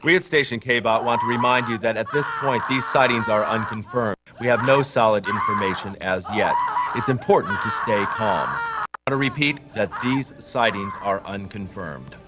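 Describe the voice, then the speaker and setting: A man's voice: on the low side, sing-song, normal speed, very noisy. A man playing a television news anchor, emphatic and announcerly, in a game's parody newscast. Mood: reassuring. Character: male TV news anchor, smooth and reassuring.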